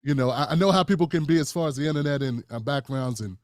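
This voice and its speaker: A man hosting a live stream, his voice sitting a bit higher than most men's. He talks in a measured way, circling back with fillers and restarts. The sound is dry and close, with no room in it. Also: darkish tone, no echo. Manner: measured, many fillers and restarts, calls guest brother. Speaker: host.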